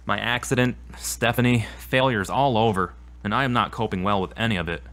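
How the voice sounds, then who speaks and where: A man's voice: on the low side, fairly even intonation, a little fast, a bit bright. A man playing a troubled, self-blaming young man in an audio drama, speaking hesitantly and remorsefully. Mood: anxious, downcast.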